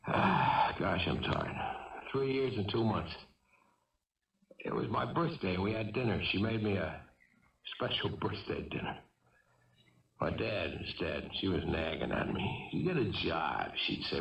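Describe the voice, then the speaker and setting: An actor playing a wounded fugitive gunman in a 1970s radio drama, jittery, bitter and wistful, speaking in halting, agitated bursts. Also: deep voice, creaky, fairly bright tone, full character voice. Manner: halting, agitated, repeating himself. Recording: dull, echoey vintage radio recording, distant mic.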